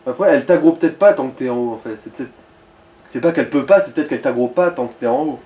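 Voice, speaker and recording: A man's voice: mid-range voice, fast talker, warm tone, dull audio. A man chatting casually and crudely as he co-commentates a video game, full of slang and jokes. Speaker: let's play co-commentator. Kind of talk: casual gaming commentary chat. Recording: roomy, echoey sound.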